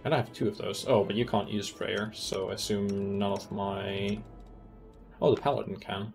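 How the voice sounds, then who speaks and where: A man's voice: fairly low pitch, level tone, normal speed, gravelly, a little mellow, soft background music. A man streaming a fantasy roleplaying game who thinks aloud in casual commentary, with many ums as he weighs loot and rules.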